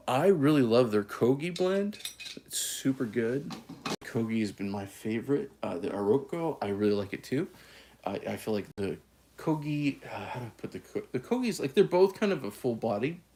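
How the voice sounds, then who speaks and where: Man, Californian, casual coffee vlog host, folksy, low voice, gravelly. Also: darkish tone. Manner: easygoing, folksy, says y'all, lots of fillers and restarts. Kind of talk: casual live stream chat.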